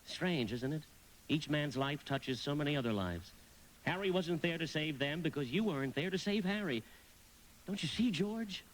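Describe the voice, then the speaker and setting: An actor playing a gentle, elderly guardian angel in a live radio play, explaining things earnestly. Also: male voice, on the high side, animated, moderate pace, slight background noise, natural voice, rough recording. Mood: solemn.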